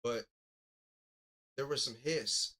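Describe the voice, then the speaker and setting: A man with a mid-pitched voice hosts a music review. He talks casually and thinks aloud, circling back over his words and leaning on catchphrases as he gives critique. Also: takes it slowly, pushed and bright. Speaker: music review show host. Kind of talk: casual live commentary and critique.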